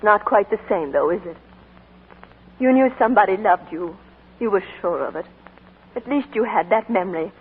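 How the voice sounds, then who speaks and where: A woman's voice: mid-range voice, animated, moderate pace, pauses often, slightly rough, phone-quality sound. An actress playing a young woman whose sweetheart has come home from war, in emotional 1940s radio acting on an old broadcast recording. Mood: grieving. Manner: emotional, breathless and pleading. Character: young woman waiting for her soldier sweetheart, heartbroken.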